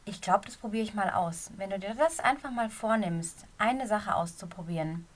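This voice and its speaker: A middle-aged woman with a slight cold and a somewhat hoarse voice, a running coach giving a casual, earnest podcast talk from a quiet corner of her bedroom. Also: medium pitch. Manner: earnest, encouraging, talks straight to the listener.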